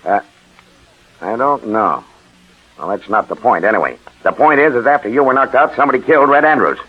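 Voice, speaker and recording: A man's voice: mid-range voice, expressive, fairly quick, breathy edge. An American man playing a stern, sardonic police chief in 1940s radio crime style. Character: stern, sardonic male police chief, demanding of his men. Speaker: radio actor. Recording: muffled old radio broadcast.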